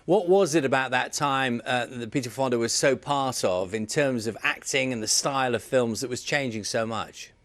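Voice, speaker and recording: A man's voice: medium pitch, moderate pace, few pauses, a bit bright, no echo. A man presenting live news and putting questions to guests. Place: dry broadcast studio.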